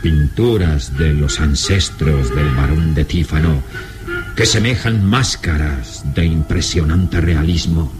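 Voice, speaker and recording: A man's voice: a bass voice, slow pace, music in the background. A man narrating a radio drama from a script in a solemn, theatrical manner.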